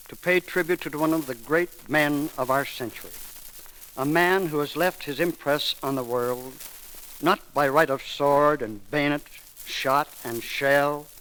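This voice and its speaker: A man reading a formal memorial eulogy on 1930s radio, solemn and oratorical with deliberate phrasing. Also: a little high, a bit bright. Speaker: film studio manager giving eulogy. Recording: crackly 1930s radio broadcast recording.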